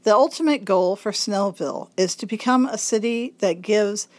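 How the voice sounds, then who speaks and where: Raspy stuffed-up lady reading a magazine out loud, slow and careful with every word. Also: mid-range voice. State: congested, throat-clearing. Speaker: volunteer reader of a local magazine. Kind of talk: reading a magazine article aloud.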